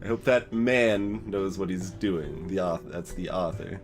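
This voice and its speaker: Slow, musing man commenting on a game. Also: deep voice, steady tone. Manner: musing, thinking aloud, hesitant. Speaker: let's play host. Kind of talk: casual gameplay commentary. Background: game music.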